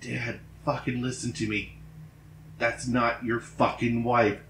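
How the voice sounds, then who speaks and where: Man acting a frantic young son, loud, raised voice. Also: medium pitch, little pitch movement, takes it slowly, pitched above the performer's own voice. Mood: frantic, angry. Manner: urgent, forceful, swearing. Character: frantic angry college-age son, begging his father. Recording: roomy echo, background noise.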